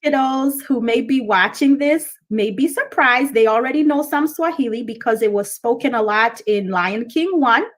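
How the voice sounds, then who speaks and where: A woman's voice: fairly high pitch, normal speed, hardly any pauses. A woman from Kenya, a Kikuyu speaker, chatting as a guest and explaining at length with frequent ums. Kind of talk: casual interview.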